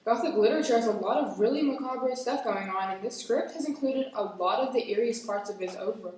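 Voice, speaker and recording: A woman's voice: a little high, brisk, darkish tone, natural voice. A young woman playing a flippant, bookish, casually teasing character in a radio drama. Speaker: actor in an amateur radio play. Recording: distant, very echoey room.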